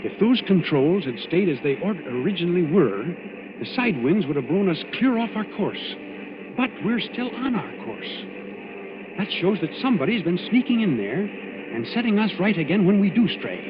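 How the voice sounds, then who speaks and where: A man's voice: sits high, very animated, normal speed. A man playing a resolute, level-headed naval commander in danger, in 1940s radio drama style. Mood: hushed, urgent. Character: resolute, calm male naval commander in peril. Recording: muffled, noisy old radio recording.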